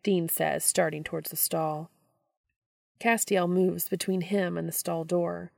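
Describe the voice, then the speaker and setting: Casting: female audiobook-style storyteller, somewhat low, noticeably creaky, reading fiction expressively. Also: steady tone, unhurried, dry recording, studio quality. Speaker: fan fiction narrator.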